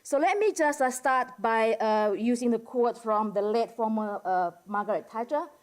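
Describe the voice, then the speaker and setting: Woman, Indonesian accent, academic conference speaker, informal presentation, conversational, halting phrasing, frequent casual fillers. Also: a little high. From Medan, Indonesia. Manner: conversational and halting, peppered with "like" and "you know". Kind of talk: informal economics presentation to a general audience.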